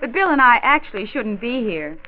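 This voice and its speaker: Anxious high-voiced radio mother. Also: expressive, a little fast. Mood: worried. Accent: American. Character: anxious young mother, guilty but breezily defensive. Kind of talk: 1940s radio drama acting. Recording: tinny, noisy vintage 1940s radio transcription.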